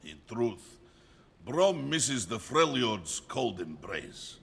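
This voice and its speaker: An actor playing a big, warm-hearted warrior from a frozen land in a video game, with a husky, gravelly voice and a hearty storytelling manner. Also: male voice, mid-range voice, very animated, slow pace, full character voice. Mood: jovial. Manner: hearty storyteller, speaks of himself in third person. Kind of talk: dramatic video game acting.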